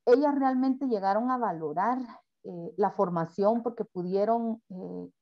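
A woman, speaking as a panelist in a webinar presentation, earnest and halting with frequent fillers. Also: mid-range voice, highs cut off.

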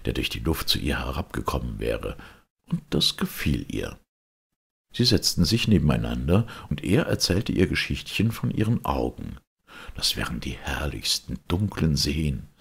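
A man narrating a fairy tale as a storyteller, expressive and theatrical. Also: a bass voice, vocal fry, slightly rough. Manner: theatrical, varied.

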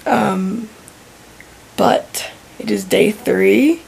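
A teenage girl vlogging to a handheld camera, sick with a sore throat and cough, talking softly and tiredly with many fillers. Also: mid-range voice, extremely slow, vocal fry, a little hiss or hum. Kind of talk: casual vlog to camera. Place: indoors in a vacation rental living room. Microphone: handheld camera at arm's length.

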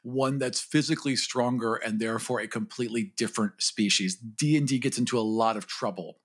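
A man's voice: mid-range voice, a little fast, husky. A man co-hosting a podcast, either reading a script or chatting about games. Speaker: podcast co-host. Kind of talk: scripted reading and casual discussion.

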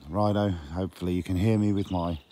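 Croaky deep-voiced man vlogging outdoors. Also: level tone, quick pace, warm tone, a little hiss or hum. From England. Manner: jokey, teasing banter. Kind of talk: casual vlog chat. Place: outdoors by a lake and countryside path. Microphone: phone camera held close.

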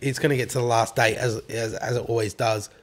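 A raspy-voiced Australian man talks in a relaxed, rambling way as a podcast guest. His voice sits in an ordinary middle register, and he swears casually and leans on fillers. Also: mid-range voice, brisk. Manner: laid-back, swears freely, filler-heavy. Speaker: rapper guest.

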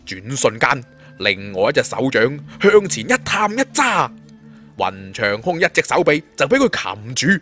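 Animated Cantonese male storyteller over music. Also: mid-range voice. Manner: theatrical, colloquial. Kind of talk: dramatic audiobook narration of a martial fantasy tale. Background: background music.

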